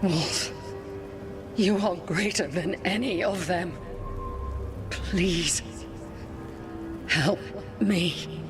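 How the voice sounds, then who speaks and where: A woman's voice: fairly low pitch, drawn-out words, pauses often, music in the background. An actress playing a desperate, devoted elven woman in a video game, pleading reverently. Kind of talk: dramatic video game acting.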